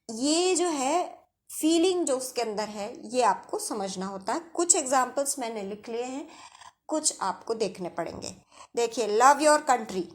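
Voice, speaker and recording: Female teacher, Indian accent, slightly high voice, very slow delivery, instructional and methodical with examples. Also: a bit bright. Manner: explanatory, step-by-step with examples. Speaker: English grammar teacher. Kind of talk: instructional grammar lesson.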